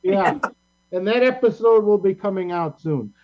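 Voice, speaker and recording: A man's voice: high-pitched, lively intonation, normal speed. A man hosting a casual group chat. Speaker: host. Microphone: video call. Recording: muffled online call with hum.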